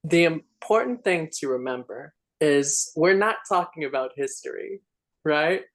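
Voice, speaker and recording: Unhurried man lecturing, tagging "right?". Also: fairly high pitch. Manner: animated, lecturing, keeps asking "right?". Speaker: church group participant. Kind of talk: casual group discussion.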